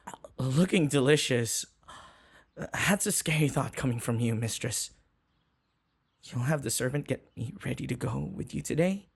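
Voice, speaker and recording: A man's voice: mid-range voice, normal speed, vocal fry, long pauses, husky. A man playing a flustered, submissive young human servant in an audio roleplay, stammering, hesitant and deferential. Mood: hesitant, resigned. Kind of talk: audio roleplay acting addressed to the listener.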